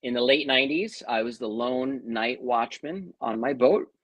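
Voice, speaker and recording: A man with a New York accent tells his story as a guest, chatty and full of asides. His voice sits at an ordinary middle pitch. The recording is dry, with almost no room sound. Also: normal speed, fairly bright tone, no echo. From Long Island, New York. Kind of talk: casual interview storytelling.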